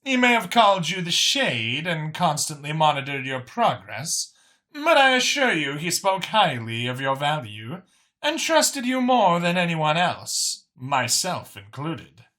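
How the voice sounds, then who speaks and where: A man's voice: fairly high pitch, sing-song, a little slow, pushed and bright, voice pushed up, exaggerated voice. A man voicing a poised, friendly alien woman in a narrated science fiction story, amused and insistent. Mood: reassuring, insistent.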